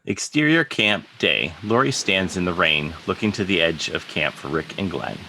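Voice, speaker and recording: A man's voice: deep voice, animated, normal speed, pushed and bright, a little hiss or hum, rough recording. A man narrating stage directions for an online table read of a comic, in a scripted style. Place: video call. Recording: online video call.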